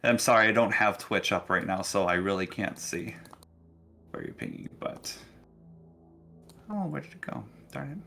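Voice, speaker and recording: Bright-voiced man running a tabletop game. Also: on the low side, animated, vocal fry, faint music behind. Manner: halting, thinking aloud. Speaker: game master. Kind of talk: casual tabletop game narration.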